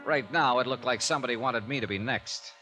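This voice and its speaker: An actor playing a brisk, wry male insurance investigator in 1950s radio detective style, clipped and emphatic. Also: medium pitch, bright, forceful tone, natural voice. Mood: wry, businesslike. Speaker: lead actor and narrator of a detective radio serial. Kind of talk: 1950s radio acting, first-person detective narration and dialogue. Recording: old radio recording, music behind.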